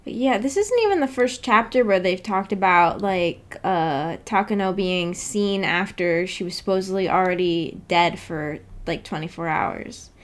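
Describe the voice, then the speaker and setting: A woman hosting a casual livestream discussion, musing tentatively out loud with a giggly manner. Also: fairly low pitch, measured pace, few pauses. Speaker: host of a book club stream.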